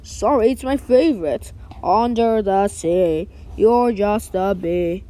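A woman's voice: mid-range voice, slow, dark tone, lightly acted. A woman voicing a carefree cartoon sea creature who mostly sings in a repetitive, sing-song way. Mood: cheerful. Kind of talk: amateur cartoon singing and voice acting.

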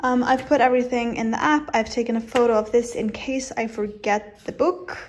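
A woman's voice: fairly high pitch, a little fast, a little hiss or hum. A woman vlogger chatting casually with many fillers, explaining her weekly grocery plan at home.

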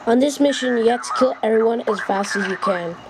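A woman's voice: fairly high pitch, a little fast. A woman giving a casual, plainly worded game walkthrough as host of a gameplay video. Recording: noisy camera recording of handheld game. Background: handheld game audio.

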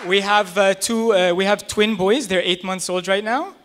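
A man's voice: sits high, moderate pace, noisy background. A man in his thirties doing a stand-up set on a small club stage, talking into a handheld mic in a conversational, self-deprecating way. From United States. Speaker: stand-up comedian. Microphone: handheld stage microphone.

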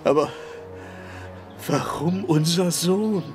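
A man's voice: fairly high pitch, takes it slowly, a little mellow, faint music behind. An actor playing a brooding, guarded father in a period mystery radio play, speaking tersely. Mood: pained.

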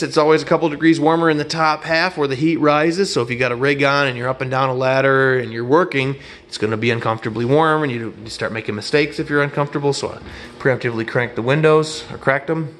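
A man's voice: fairly high pitch, quick pace, fairly bright tone. A middle-aged man talks through his carpentry work in a casual, rambling way, often stopping to correct himself mid-sentence. His voice is caught by a camera mounted on his head as he moves around an empty room being renovated. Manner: rambling, folksy, self-correcting explanations. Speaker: carpenter teaching trim work. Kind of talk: casual hands-on instruction. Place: empty apartment room under renovation, indoors. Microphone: head-mounted action camera.

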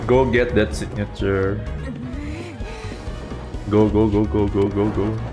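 A man's voice: lowish voice, little pitch movement, slow pace. A man giving casual live reaction commentary, exclaiming and repeating his phrases. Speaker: reaction video host. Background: game trailer music.

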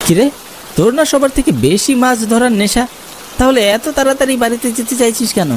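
A man's voice: very high voice, moderate pace. A man voicing a teasing, bossy young village man in a cartoon, chiding as he talks. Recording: noisy, rain behind the voice.

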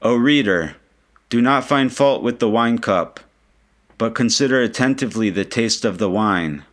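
A man reading translated poetry aloud like an audiobook, in a solemn, measured manner. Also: medium pitch, lively intonation, a bit bright. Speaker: reader.

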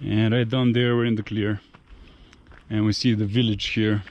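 A man's voice: on the low side, little pitch movement, normal speed, highs cut off. A man talking casually as a travel vlogger, thinking aloud in a conversational way. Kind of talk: casual travel vlog commentary to camera. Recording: noisy outdoor and car background.

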